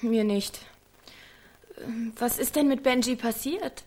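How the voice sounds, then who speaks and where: A woman's voice: a little high, slow pace, darkish tone. An actress playing a seventeen-year-old village girl in a German radio play, forward, defiant and blunt. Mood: cold, sarcastic. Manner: blunt, provocative.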